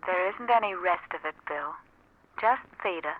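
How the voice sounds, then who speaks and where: Smooth, soft-voiced mystery woman. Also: lowish voice, animated, normal speed. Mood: coy, cool. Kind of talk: 1940s radio drama acting. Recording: tinny, thin 1940s radio recording.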